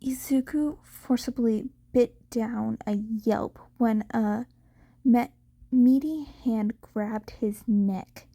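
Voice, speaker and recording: A woman reading scripted story narration as an audiobook narrator, slightly halting in her delivery. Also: fairly high pitch, warm tone.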